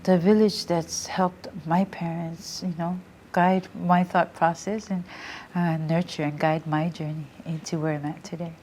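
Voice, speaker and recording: A middle-aged woman from American Samoa whose first language is Samoan, telling her story in a relaxed interview full of fillers and restarts. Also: lowish voice, measured pace, hardly any pauses, a little hiss or hum. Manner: many fillers and restarts, laughs readily. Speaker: guest, Samoan language teacher. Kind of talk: casual interview, reflective storytelling.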